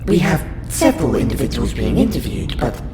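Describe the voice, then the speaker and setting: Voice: medium pitch, very animated, a little fast, a loud crowd, lightly acted. A performer with a woman's voice playing a courteous, curious alien scientist in an audio roleplay, questioning a human in formal, clinical wording. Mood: polite curiosity. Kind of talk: audio roleplay monologue to the listener. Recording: roomy echo under loud music.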